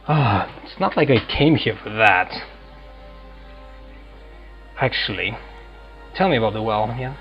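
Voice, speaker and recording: A man's voice: on the low side, expressive, a little slow, pauses often, highs cut off. A man giving casual let's-play commentary as a video game player, musing aloud in an offhand manner. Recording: game music behind the voice. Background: video game music.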